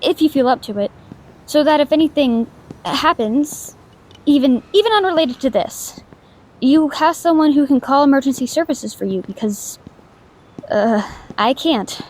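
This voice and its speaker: A woman's voice: sits high, animated, moderate pace, poor audio. A woman voicing a shy, awkward young female ghost in an intimate audio drama, stammering, hesitant and apologetic, anxious but kind. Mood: tentative. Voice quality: soft stammer. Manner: hesitant, apologetic, self-doubting, rambling.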